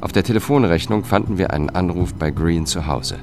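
A dogged investigator with a low voice, telling his case in a crime radio play. He is dry and sober, in short factual sentences. Music sits clearly behind him. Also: brisk. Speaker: protagonist and first-person narrator. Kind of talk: first-person crime radio drama narration.

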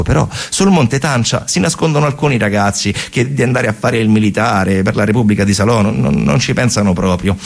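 A man's voice: on the low side, vocal fry, slightly rough. A man delivering a theatrical narrative monologue for radio, conversational, repeating phrases for emphasis and acting out quotes. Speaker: stage storyteller and actor.

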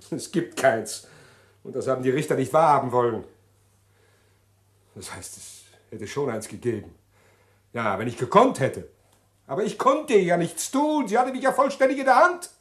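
An actor playing a condemned male prisoner in a 1960s German radio drama, agitated and halting as he pleads to confess. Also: fairly high pitch, very animated, a bit bright. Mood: tormented, confused. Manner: agitated, halting, repeating himself.

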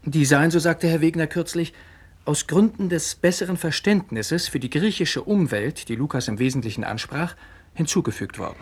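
An actor playing an earnest, critical young son with a husky voice, lecturing insistently and articulately in a radio play. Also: male voice, on the high side, normal speed. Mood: earnest arguing. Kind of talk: 1960s German radio play acting.

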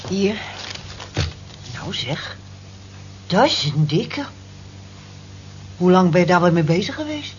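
Nosy female co-worker, lowish voice, very animated, chiding, radio play, dull recording. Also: slow, long pauses, noisy background. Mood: prying, teasing. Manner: prying, expressive, chiding. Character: brisk, nosy, teasing female friend and co-worker. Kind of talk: radio drama dialogue.

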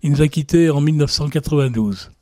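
A man's voice: fairly high pitch, fast, darkish tone, no echo, studio quality. A man hosting a radio music show, chatting casually to his listeners and correcting himself as he goes. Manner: chatty, addresses listeners directly; muses aloud and corrects himself. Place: radio studio.

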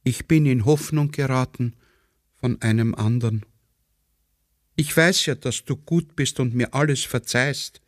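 A man voicing a naive village wife in a radio play, haltingly reading out a letter in simple, pleading tones. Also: mid-range voice, no room sound, clean studio sound, close to an everyday voice.

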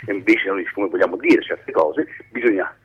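Deep, husky man, halting explainer. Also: lo-fi sound. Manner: halting, rambling, self-interrupting explanations. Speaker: screenwriting teacher being interviewed. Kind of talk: informal radio phone interview.

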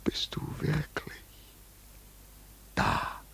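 An actor playing a lonely, yearning older father in an intimate radio play, brooding and halting, at times near a whisper. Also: a bit bright. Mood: tender yearning. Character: lonely, yearning ageing father with thinning hair.

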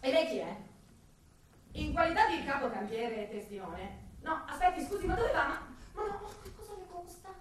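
A woman's voice: sits high, very animated, normal speed, noisy background. An actress playing a pushy, ambitious television reporter in a theatrical radio drama, insistent with her pleading questions. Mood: coaxing, prying. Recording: echoey, distant stage sound with music.

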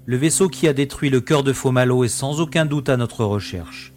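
A man playing a wry, decisive starship pilot and smuggler in an amateur sci-fi audio drama, brisk and commanding. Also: medium pitch, darkish tone, faint music behind, natural voice. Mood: decisive, businesslike.

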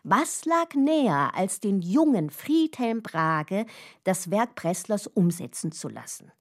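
An actress playing a composed radio presenter, reading a formal, articulate scripted introduction. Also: female voice, medium pitch, very animated, slow pace. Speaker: radio announcer.

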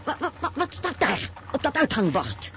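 Radio play: imperious old countess, querulous, stammering, lively swooping pitch, noisy backdrop. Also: female voice, moderate pace, heavily acted. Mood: irritated. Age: older. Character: old countess, imperious, nagging, bombarding her companion with questions. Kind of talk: radio drama acting.

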